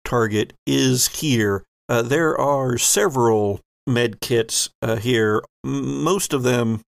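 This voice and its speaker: A man narrating a tabletop role-playing game as game master in a deliberate, descriptive way. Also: medium pitch, creaky, no echo, studio quality.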